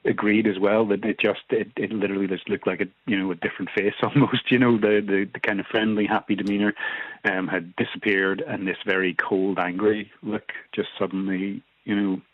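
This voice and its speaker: A man's voice: fairly low pitch, level tone, a little fast, dry recording. A man, a church minister, recounting events as a radio interview guest in a hesitant way, repeating his words. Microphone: phone line. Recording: tinny dry phone line.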